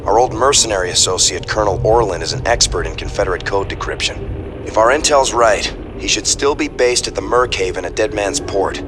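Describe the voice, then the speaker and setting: An actor playing a cautious, loyal second-in-command in a sci-fi game, with a crisp, businesslike manner. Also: male voice, lowish voice, brisk, background noise, close to an everyday voice. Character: earnest, cautious male ship's officer, loyal second-in-command.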